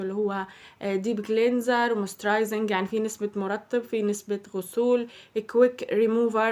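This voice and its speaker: A young woman with a Palestinian Arabic accent, chatting casually through a shopping haul, her voice slightly hoarse from a cold. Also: a little high, a little mellow. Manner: chatty, addresses viewers as girls. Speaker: vlogger.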